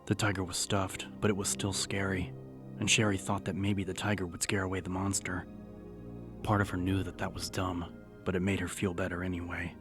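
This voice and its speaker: A man narrating a scripted horror audiobook in a measured, serious storytelling manner. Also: sits low, level tone. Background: music.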